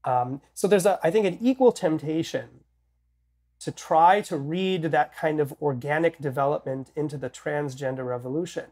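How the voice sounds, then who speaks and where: A man in his thirties, his voice a touch nasal from a head cold and sitting fairly high. He talks in a measured, deliberate way, restarting phrases and filling gaps with ums. He is heard over a video call from a home office. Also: a little mellow. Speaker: political scientist guest. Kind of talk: interview conversation.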